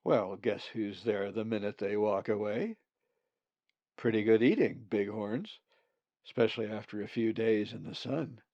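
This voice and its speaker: Blunt, sly male storybook raven, played by a man: gravelly, folksy, emphatic, always thinking of food, dry close sound. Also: on the low side, a little slow, no room sound, muffled recording. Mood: relishing.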